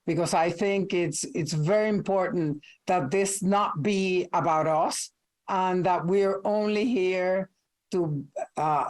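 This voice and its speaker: Slow, measured older woman with Puerto Rican accent. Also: on the low side, darkish tone. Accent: Puerto Rican Spanish. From Puerto Rico. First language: Spanish. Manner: measured, deliberate, with thoughtful pauses. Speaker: ambassador and panelist. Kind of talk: formal panel remarks.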